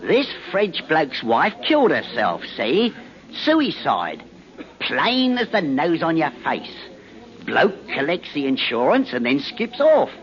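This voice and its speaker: An actor playing a matey, nosy Cockney pub regular, garrulous and slangy, in 1940s radio drama style. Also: male voice, a little high, wildly swooping pitch, takes it slowly, heavily acted. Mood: chatty. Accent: Cockney English. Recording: muffled 1940s radio recording.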